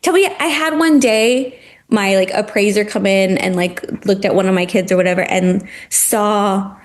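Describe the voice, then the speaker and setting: A woman's voice: medium pitch, normal speed. A woman who is a former teacher, speaking passionately and with animation as a podcast guest, using "like" often. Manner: passionate, animated, heavy use of "like". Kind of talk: casual podcast conversation.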